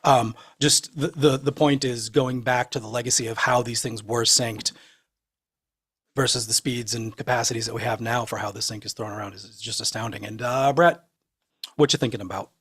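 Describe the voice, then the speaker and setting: A man with a husky, rough-edged voice at a medium pitch. He talks casually through technical points, like a panelist in a group discussion. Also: steady tone, normal speed, a bit bright.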